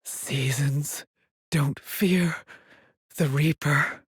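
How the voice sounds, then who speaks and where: An anguished woman astronaut, trapped and haunted. Her voice is husky and breathy, and she speaks slowly and haltingly in a dramatic video game style. The sound is close and dry. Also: fairly low pitch, no room sound. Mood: eerie, hushed. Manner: slow, halting, haunted delivery with sighs.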